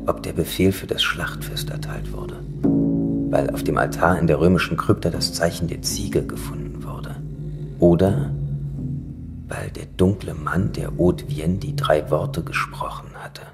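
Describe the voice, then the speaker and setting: A man narrating a gothic horror audio drama in a grave, ominous, measured way. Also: deep voice, creaky.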